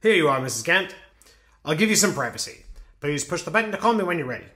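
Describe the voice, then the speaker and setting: A man's voice: a little high, big pitch swings, quick pace, pushed and bright. A man playing a courteous, deferential bank clerk in an old-time radio drama, with a fussy, polite manner.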